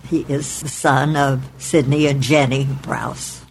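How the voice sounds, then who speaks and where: A woman in her eighties from Oklahoma, recalling her family's past in a measured, deliberate way in her apartment. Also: very deep voice, fairly even intonation, slight background noise, rough recording. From Oklahoma, United States. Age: 80s. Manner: measured, deliberate, spells out names letter by letter. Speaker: oral history interviewee. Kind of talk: recorded oral history recollection. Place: her apartment in a retirement home.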